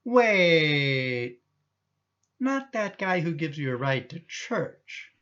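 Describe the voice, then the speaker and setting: An actor playing an exasperated, judgmental adult son in a radio play, questioning and insistent. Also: male voice, on the high side, very animated, extremely slow, fairly bright tone, muffled recording, heavily acted. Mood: disapproving.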